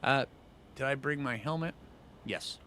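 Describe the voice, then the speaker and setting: A man's voice: medium pitch, unhurried, pushed and bright, a little hiss or hum. A man giving casual gameplay commentary, thinking aloud in informal, slangy phrases with rambling asides. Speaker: gaming channel host.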